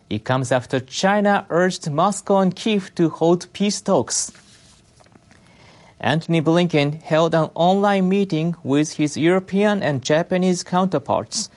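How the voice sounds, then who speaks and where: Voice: mid-range voice, a little mellow. A news reader delivering scripted international news narration.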